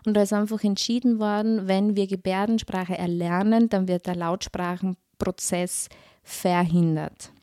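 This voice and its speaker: A woman speaks in a mellow, mid-pitched voice. She explains things in an emphatic, conversational way, as a guest on a podcast. The recording is very dry. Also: flat delivery, a little slow, dry recording. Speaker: podcast guest, social worker.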